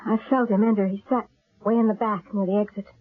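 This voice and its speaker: A woman's voice: mid-range voice, steady tone, fast, breathy edge, lightly acted. A woman playing a wry, theatrical stage psychic in an old-time radio mystery. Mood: weary, uneasy. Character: weary, wry female stage psychic, uneasy under threat. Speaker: actress. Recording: muffled, narrow-band old radio recording.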